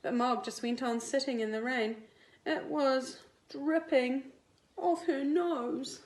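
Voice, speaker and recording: A woman, a teacher reading a picture book aloud to young children in an expressive, deliberate way. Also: high voice, slight background noise. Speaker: primary school teacher. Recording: roomy indoor echo.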